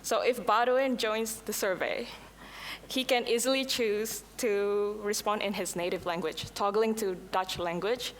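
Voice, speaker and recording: A woman whose first language is Indonesian, presenting a scripted software demo at a conference, with a few slips and restarts. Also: mid-range voice, steady tone, a little slow, a little hiss or hum. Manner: reads prepared lines, occasional slips and restarts. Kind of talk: scripted product demo talk. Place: conference hall. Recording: long hall reverb, faint ambience.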